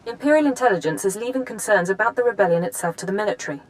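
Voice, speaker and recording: An actress playing a brisk, firm intelligence handler briefing an agent in a video game, businesslike and no-nonsense. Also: female voice, medium pitch, expressive, close to an everyday voice, rough recording.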